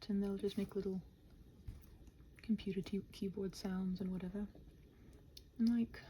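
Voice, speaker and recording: Woman, playful and scattered ASMR creator, mellow with plenty of vocal fry, rambling casually and muttering while she works. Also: medium pitch, a little hiss or hum. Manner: playful, chatty, talks to her computer. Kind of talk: casual unscripted body-doubling ramble.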